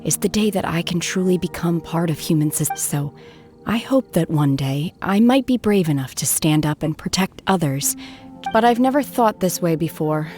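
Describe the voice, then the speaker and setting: A woman voicing a solemn, aloof young female warrior in a video game, restrained and quietly troubled. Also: lowish voice, lively intonation, moderate pace, soft background music, close to an everyday voice. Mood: softly reflective. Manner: restrained, reserved, serious.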